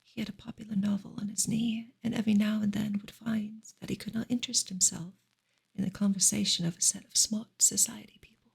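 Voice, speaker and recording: A woman reading a book aloud for ASMR in a soft, breathy, unhurried whisper. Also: mid-range voice, flat delivery. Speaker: ASMR reader and streamer.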